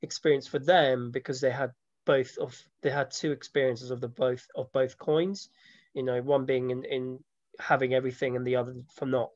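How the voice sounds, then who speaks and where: A man's voice: medium pitch, little pitch movement, normal speed, a little mellow, no room sound. Dull-sounding man in his thirties, reflective. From born in Slovakia, grew up from age seven in London. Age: 30s. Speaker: interviewee, film maker and photographer. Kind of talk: informal personal interview. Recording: dull lo-fi audio, dry.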